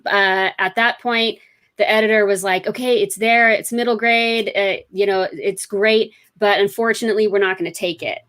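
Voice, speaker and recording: A woman's voice: medium pitch, fairly even intonation, normal speed, a bit bright. Chatty woman author, rambling. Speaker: author guest. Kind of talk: casual interview chat.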